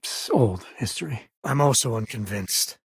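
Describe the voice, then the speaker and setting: A heavily husky, gravelly spacefarer from a video game, with a medium-pitched voice. He is an easygoing, loyal father and explorer who talks in a wry, folksy, conversational way. The sound is dry, with no room around it. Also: expressive, normal speed, no room sound, exaggerated voice. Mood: earnest. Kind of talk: video game character dialogue.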